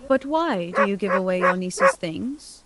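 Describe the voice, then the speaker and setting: A woman acting in a gothic radio drama as an innocent, curious young gentlewoman with a hesitant, polite way of speaking. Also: mid-range voice, big pitch swings, a little slow, very noisy. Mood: hesitant, insistent.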